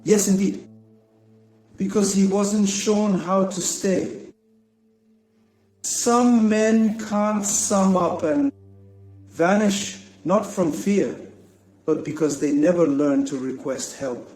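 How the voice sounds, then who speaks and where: A man performing a dramatic poetic monologue in a solemn, deliberate manner with weighty pauses. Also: sits high, a little mellow, poor audio. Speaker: spoken-word poet. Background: music behind the voice.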